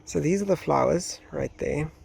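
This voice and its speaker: A man in his thirties with a South African accent and a slightly hoarse voice, hosting an informal gardening vlog outdoors on a phone. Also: a little high, normal speed, a little mellow. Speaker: gardening video host, nursery gardener. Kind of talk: informal vlog talk to camera. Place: outdoors in a breezy garden nursery. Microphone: phone at arm's length. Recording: outdoor phone recording with faint background noise.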